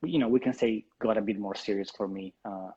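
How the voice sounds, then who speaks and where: A man in his thirties from Italy with an Italian accent, speaking English as a guest in a live interview, relaxed and hesitant with many ums and restarts. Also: on the low side, a little fast, dull audio. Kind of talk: casual interview, live stream.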